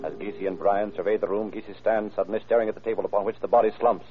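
An actor playing a grave, florid narrator of a vintage radio crime drama, delivering scripted narration dramatically. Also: male voice, on the low side, steady tone, very fast, husky. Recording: tinny old radio recording. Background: dramatic music.

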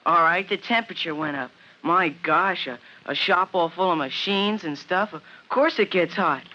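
A woman's voice: lowish voice, moderate pace, pushed and bright. A woman playing a scrappy, impatient schoolboy in an old-time radio drama, with a blunt, slangy way of talking. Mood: skeptical. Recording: dull old radio recording.

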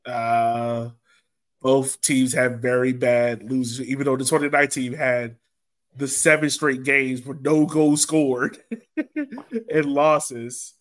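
A man's voice: medium pitch, little pitch movement, slow pace. A man hosting a sports podcast, chatting in an informal, colloquial way. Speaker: podcast host. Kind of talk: casual sports podcast chat.

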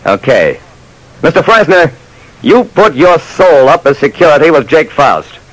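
A man's voice: a little high, sing-song, measured pace, no echo, poor audio. An actor playing a wry, slangy detective who narrates his case in a clipped old-time radio style. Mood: firm. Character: dry, soft-hearted male detective telling his case. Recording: vintage radio broadcast.

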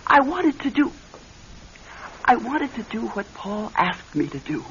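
A wistful betrayed wife in an old radio drama, lively pitch swings, dull recording. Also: female voice, unhurried. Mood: hurt, sorrowful. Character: earnest, wistful wife wronged by her scheming husband. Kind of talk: classic radio drama acting. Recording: muffled old radio broadcast with faint hiss.

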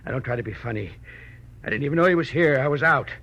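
An actor playing a shifty, hard-drinking American crook in 1940s radio style, rough and slangy. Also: male voice, mid-range voice, animated, fast, fairly bright tone. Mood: defensive, menacing. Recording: dull, muffled old radio recording.

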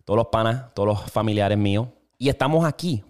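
A man's voice: fairly low pitch, brisk, darkish tone. A young man from Puerto Rico with a Puerto Rican accent, hosting a casual solo podcast in a slangy, laid-back way. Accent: Puerto Rican Spanish. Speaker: podcast host. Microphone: single camera with mic.

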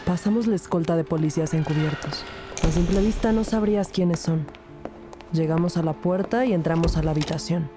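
A woman's voice: on the low side, brisk, over music, lightly acted. A woman playing a sober, skeptical police detective in a crime audio drama, speaking and narrating in a serious way.

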